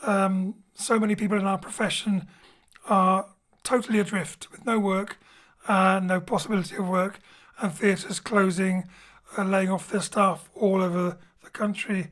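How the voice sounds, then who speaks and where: A man's voice: high-pitched, flat delivery, normal speed, fairly bright tone. A man hosts as a theatre director, introducing an audio drama from a home studio. He explains things in an informal, spoken way, like a presenter addressing listeners directly. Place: home studio, remote link.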